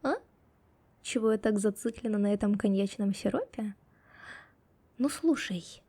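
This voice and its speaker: A woman playing a breathy, whispering office boss. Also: medium pitch, unhurried. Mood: chatty, wry. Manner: soft whispering, close to the mic; scolding and sarcastic to staff. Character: stern sharp-tongued female office boss. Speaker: ASMR roleplayer. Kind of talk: ASMR roleplay.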